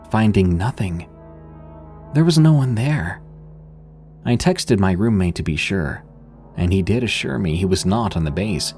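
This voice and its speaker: A man with a very low voice narrates true horror stories, taking his time and building suspense. His pitch rises and falls expressively across each line. A faint music bed plays beneath him. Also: darkish tone. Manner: slow, deliberate, suspenseful reading.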